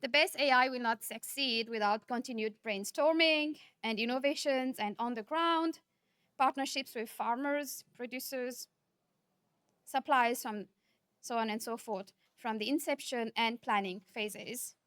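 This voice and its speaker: Woman, high voice, dry close sound, measured and earnest, keynote delivery. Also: fairly bright tone, clear, resonant tone, no echo. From Nepal. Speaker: keynote speaker. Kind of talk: conference keynote speech.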